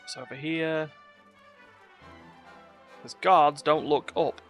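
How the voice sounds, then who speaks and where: A man's voice: on the high side, steady tone, slow, fairly bright tone. A man casually commenting as he streams a video game, muttering to himself in short fragments. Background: game music.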